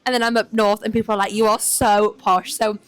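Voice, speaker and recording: Fast-talking woman, Geordie-southern accent. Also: medium pitch, level tone, clean tone, dry recording. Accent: mixed Geordie and southern English. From Newcastle, England. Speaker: guest. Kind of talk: casual chat.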